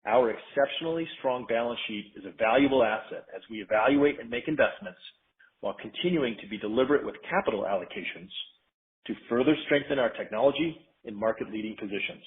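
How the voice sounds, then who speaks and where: Man reading formally over a tinny phone line. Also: mid-range voice. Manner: formal, measured, businesslike. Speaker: company finance executive. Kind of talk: scripted earnings call presentation. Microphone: conference call line.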